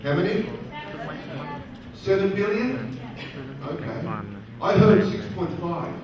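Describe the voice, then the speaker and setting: A middle-aged man speaking to a live audience at a career event, using slow, simple, repeated phrasing and asking the crowd questions. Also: high voice. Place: large conference hall. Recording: very echoey hall, distant voice, faint noise.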